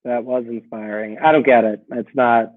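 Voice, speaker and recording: Man, podcast co-host, mid pitch, dry sarcastic quips, phone-like audio. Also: steady tone, normal speed. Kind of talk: casual chat. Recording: tinny phone-like call audio.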